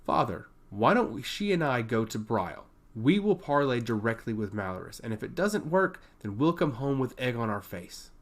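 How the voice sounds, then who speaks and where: A man's voice: medium pitch, very animated, moderate pace, few pauses. Man reading as an eager, earnest prince. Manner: earnest, questioning. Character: eager, bold prince and loyal brother.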